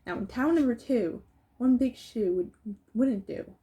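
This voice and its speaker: A woman's voice: a little high, expressive, measured pace, mellow. A woman reading a rhyming children's story aloud, with casual asides as she goes. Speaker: storybook reader.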